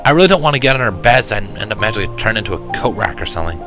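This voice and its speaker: A man's voice: fairly low pitch, expressive, rapid-fire, music in the background. A man reading scripted first-person fan fiction as the narrator.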